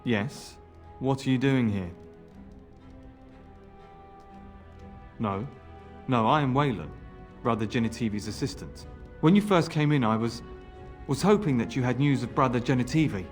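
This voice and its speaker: An actor plays a fretful, pessimistic scholar's assistant in a fantasy game. He has a mid-pitched man's voice and speaks haltingly and gloomily, over soft music. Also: animated, close to an everyday voice. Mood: nervous. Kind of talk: video game character acting.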